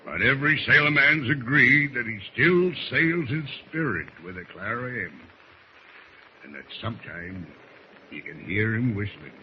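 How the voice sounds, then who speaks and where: An actor playing a salty old first mate with folksy nautical talk, in the manner of 1940s radio drama. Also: male voice, mid-range voice, animated, close to an everyday voice. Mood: ominous, storytelling. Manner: folksy nautical talk, slow storytelling with hesitations. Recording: muffled noisy old radio recording.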